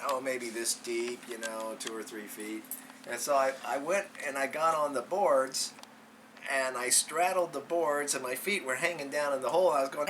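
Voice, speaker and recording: A man in his sixties telling childhood memories in a casual, folksy, rambling and animated way, as a storyteller dad. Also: medium pitch, a little hiss or hum. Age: 60s.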